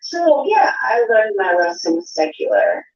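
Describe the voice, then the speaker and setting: A high-voiced woman argues her case on a live stream, swooping up and down as she goes. She is defensive and insistent, often repeating herself. The audio is dull and lo-fi. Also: very animated, unhurried, gravelly. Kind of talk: argumentative live panel talk.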